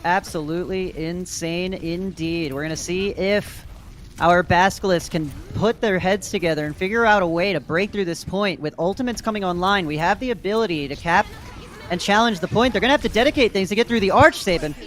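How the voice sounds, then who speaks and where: A man doing energetic play-by-play commentary for an online esports tournament. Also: high voice, fairly quick, a little hiss or hum. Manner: energetic, rises to excited shouts in big plays. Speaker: play-by-play caster.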